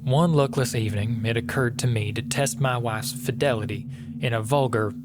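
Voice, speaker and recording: An actor playing a tormented husband confessing to murder, reading a gothic tale in a somber, formal, literary manner. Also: male voice, medium pitch, moderate pace, noisy background, natural voice. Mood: somber, brooding. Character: tormented, guilt-ridden husband confessing to murdering his wife. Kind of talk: dramatic first-person reading of a gothic tale.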